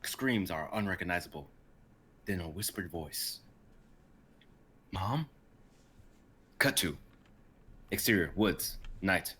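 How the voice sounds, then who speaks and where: Young man, lowish pitch, bright forceful tone, reading stage directions, scripted narration, casual live reading. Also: a little slow, a little hiss or hum. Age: young adult.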